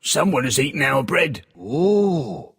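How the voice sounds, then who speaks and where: An excitable, kind little dwarf from a bedtime story, played by a man. The voice is raised and husky, drawing out short exclamations with a lively swing. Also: on the high side, takes it slowly, voice pushed up, full character voice. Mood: surprised. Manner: exclaiming in short, emphatic phrases.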